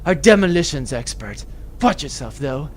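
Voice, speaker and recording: A man's voice: on the high side, normal speed, a little hiss or hum. A man playing a cocky, pompous macho military sergeant in a comic sci-fi audio drama. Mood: swaggering. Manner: pompous, deadpan introductions.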